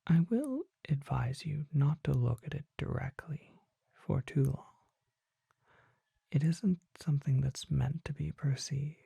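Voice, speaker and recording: A performer voicing a mysterious, courtly vampire apothecary in a breathy, formal ASMR whisper, close to the microphone. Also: medium pitch, lively intonation, no room sound, exaggerated voice. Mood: gently cautioning. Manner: slow, formal, deliberate, hushed.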